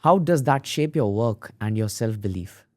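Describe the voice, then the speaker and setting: Podcast host guy, lowish voice, dry room, keeps saying yeah that's right. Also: lively intonation, moderate pace, dry recording. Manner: agreeable, short affirmations. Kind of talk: interview questions.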